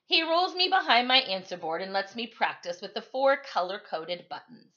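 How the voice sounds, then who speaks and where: A woman reading a novel aloud like a teacher at storytime, with animated, emphatic delivery. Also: medium pitch, moderate pace, dull audio. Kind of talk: expressive storytime read-aloud.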